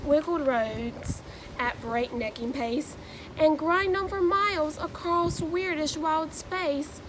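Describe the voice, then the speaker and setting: High-pitched, slow-paced young woman. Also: noisy background. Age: 20s. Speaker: new education graduate reading a children's book. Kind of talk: children's read-aloud.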